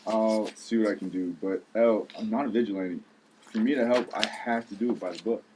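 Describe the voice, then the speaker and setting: A by-the-book policeman, acted by a man at a table read, guarded and reluctant. His voice sits on the low side, with some noise in the recording. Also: fairly even intonation, fairly quick, natural voice. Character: gruff by-the-book policeman, wounded over a rejected crush.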